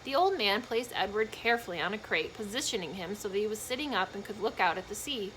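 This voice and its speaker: A woman's voice: mid-range voice, expressive, fast talker, a bit bright, slight background noise, rough recording. A woman reading a children's storybook aloud expressively, with casual explanatory asides to young listeners.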